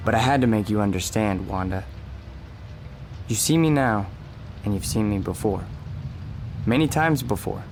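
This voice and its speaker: Radio play role: Death as a gentle, persuasive man played by an actor, lowish voice, measured and insistent. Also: soft background music, lightly acted. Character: calm, gentle, persuasive Death in a man's form. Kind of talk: dramatic radio play acting.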